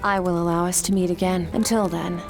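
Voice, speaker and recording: A woman playing an aloof, composed sword master from another world in a fantasy game, speaking in a measured, detached way. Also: lowish voice, little pitch movement, lightly acted. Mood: calm, resolute. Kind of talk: dubbed fantasy game dialogue. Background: game music.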